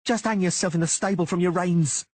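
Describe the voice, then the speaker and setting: A man voicing a small, sneering male thug who hisses his threats, with a husky voice. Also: high-pitched, fairly even intonation, a little fast, a little mellow, dry recording, pitched above the performer's own voice. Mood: menacing. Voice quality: husky, whispery.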